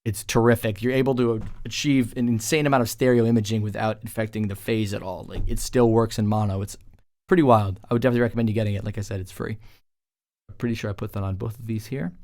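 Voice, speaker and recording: A man's voice: on the low side, fairly even intonation, fast talker, a little mellow, no room sound. A man talking casually as a music producer, explaining his work in an informal tutorial voice-over. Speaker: music producer presenting a track breakdown.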